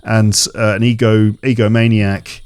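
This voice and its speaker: A man guest on a podcast who chats casually and thinks out loud, often restarting his sentences. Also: fairly low pitch, level tone, measured pace, clear, resonant tone, no echo, clean studio sound. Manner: thinking aloud, with many restarts and hedges. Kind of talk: casual conversation.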